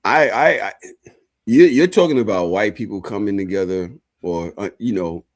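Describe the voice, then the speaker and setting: A podcast co-host talks casually, stopping and restarting as he searches for words. His voice sits on the low side. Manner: halting, many fillers, restarts.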